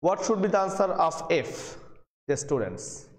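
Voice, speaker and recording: A man's voice: sits high, sing-song, measured pace. A man with a Bangladeshi accent giving a live English grammar lesson as a lecturer, addressing students and often repeating himself. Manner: repeats phrases and questions, calls out names. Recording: very echoey room, long reverb.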